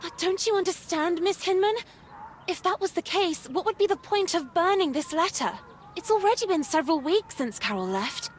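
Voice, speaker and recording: A woman playing an anxious, earnest young orphan girl in an animated horror adventure game. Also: very high voice, normal speed, a little hiss or hum. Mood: insistent, worried.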